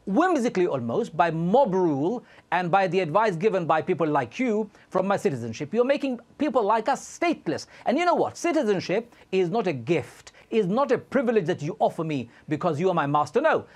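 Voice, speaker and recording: A man in his forties, born in Bangladesh, arguing insistently as a guest in a televised studio debate. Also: high-pitched. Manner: impassioned, insistent, animated. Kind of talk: heated TV debate.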